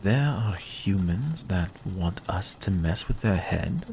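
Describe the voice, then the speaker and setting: An actor playing a sly, centuries-old vampire in an ASMR roleplay, speaking in a hushed, deliberate, guarded way. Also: low voice, lively intonation, gravelly, slight background noise, full character voice. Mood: curious.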